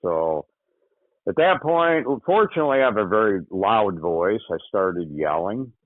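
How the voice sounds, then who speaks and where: Deep-voiced man on a tinny phone line. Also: dry recording. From Michigan, USA. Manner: halting, with frequent pauses and "you know". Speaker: interview guest recounting a hunting accident. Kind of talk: casual spoken recollection.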